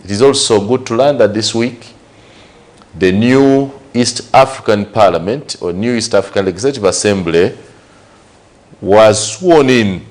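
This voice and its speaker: A man's voice: lowish voice, unhurried, darkish tone. A man from Uganda with a Ugandan English accent, giving conversational commentary on current affairs and asking rhetorical questions. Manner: conversational, repeats and lists points, rhetorical questions. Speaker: news show host.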